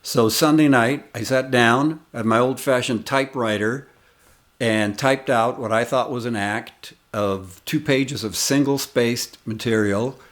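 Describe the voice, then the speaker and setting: A man in his sixties, a comedian hosting his channel and casually telling a rambling, reminiscing story of his early days. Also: mid-range voice. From United States. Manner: rambling, reminiscing, unhurried anecdotes.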